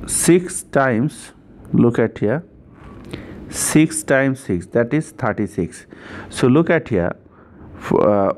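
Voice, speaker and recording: Male tutor, mid-pitched, unhurried and repetitive, informal teaching style, slightly noisy home-made recording. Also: a little mellow. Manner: repetitive, often checking with "right?". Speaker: chemistry tutor.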